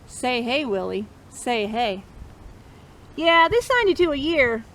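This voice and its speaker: A woman's voice: high-pitched, very animated, takes it slowly, fairly bright tone, rough recording. A woman reading a picture book aloud to children as storytime host, encouraging listeners and chanting along with them. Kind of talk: interactive read-aloud for children.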